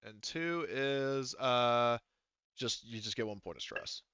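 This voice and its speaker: A man's voice: medium pitch, lively intonation, slow pace, bright, forceful tone, clear, resonant tone, no echo, muffled recording. A man speaking casually as a tabletop game master, thinking aloud hesitantly as he works through the rules.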